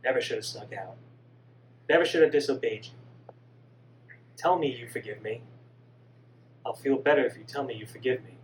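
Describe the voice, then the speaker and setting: A man voicing a remorseful young son who pleads softly in a lowered, quiet voice. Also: normal speed, pauses often, close to an everyday voice. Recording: distant mic with faint hum.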